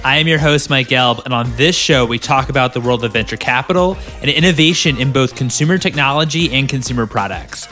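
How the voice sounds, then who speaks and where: A man's voice: mid-range voice, a little fast, few pauses, pushed and bright, soft background music. Upbeat male podcast host. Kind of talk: scripted intro and casual interview. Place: Los Angeles.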